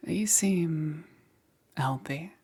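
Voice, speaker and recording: A woman playing a gentle witch caring for a stranger in a soothing ASMR roleplay, breathy and often dropping into a whisper. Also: deep voice, full character voice. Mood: gentle, attentive. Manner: unhurried, soothing, checking in with short murmurs. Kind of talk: soft-spoken ASMR roleplay.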